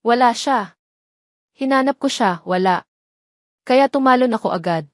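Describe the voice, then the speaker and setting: A woman's voice: on the high side, unhurried, dry recording, clean studio sound, pitched above the performer's own voice, natural voice. A woman voicing a scheming younger woman who pleads urgently in feigned tearful panic for an audio drama. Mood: tearful relief. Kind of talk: Tagalog audio drama acting.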